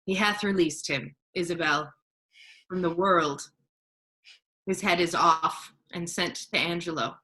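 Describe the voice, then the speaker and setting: Woman playing a commanding friar, bright forceful voice. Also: on the low side, unhurried, pauses often, poor audio. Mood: grave. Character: scheming duke disguised as a holy friar, male, authoritative. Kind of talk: staged reading of Shakespearean verse.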